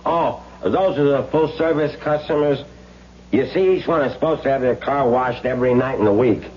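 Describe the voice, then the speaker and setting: An actor playing a talkative working-class garage attendant in a 1970s radio drama, folksy and wisecracking. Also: male voice, medium pitch, normal speed, natural voice. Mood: breezy, cheeky. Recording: dull vintage radio recording, faint hum.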